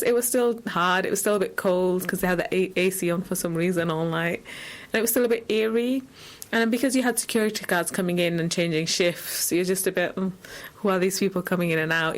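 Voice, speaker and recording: Informal woman explaining. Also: on the low side. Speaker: charity representative, interview guest. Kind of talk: informal interview answers.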